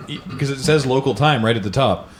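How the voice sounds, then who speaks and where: A man's voice: mid-range voice, quick pace, slight background noise. A man in casual conversation, explaining things emphatically as a livestream host. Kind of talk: casual chat.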